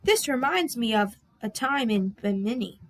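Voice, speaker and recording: A woman's voice: a little high, lively intonation, normal speed, noisy background. A woman voicing a cheery male seafaring train engine from a storybook, with hearty, exclaiming sailor talk. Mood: hearty, excited. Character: cheery male seafaring dock engine who loves tales.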